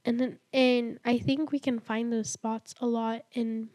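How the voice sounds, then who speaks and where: Chatty young woman, higher voice. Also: little pitch movement, mellow. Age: early 20s. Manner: chatty, many "like" fillers, quick to laugh. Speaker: podcast guest. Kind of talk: casual faith conversation.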